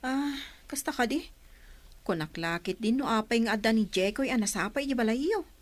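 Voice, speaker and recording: A woman's voice: on the high side, lively intonation, fairly quick, no room sound, natural voice. A woman playing a nosy village mother and aunt in a radio drama, admonishing and questioning. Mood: prying. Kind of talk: Ilocano radio drama acting.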